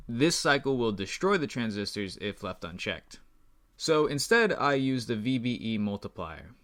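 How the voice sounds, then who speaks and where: A young man with a bright, forward voice talks through technical material informally. He sounds like a host explaining his own project, at an average male pitch. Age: 20s. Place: small room indoors.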